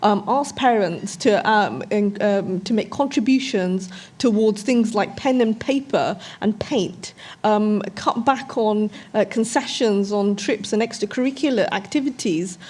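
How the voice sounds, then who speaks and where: Slightly husky woman on a panel. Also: mid-range voice, measured pace, few pauses, a little mellow. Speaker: debate panellist. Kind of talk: spontaneous argument in a public debate.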